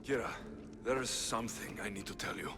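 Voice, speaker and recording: An actor playing a calm, direct male mercenary hero in a game cutscene with music. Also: mid-range voice, fairly quick, natural voice. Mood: steady.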